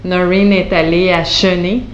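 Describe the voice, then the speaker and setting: Slow, hesitant Quebec woman, echoey room. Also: lowish voice, flat delivery, dull audio. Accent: Quebec French. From Montreal, Canada. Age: 30s. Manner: hesitant, many fillers and restarts. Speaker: patient giving a testimonial. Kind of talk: unscripted spoken testimonial. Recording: roomy echo with faint background noise.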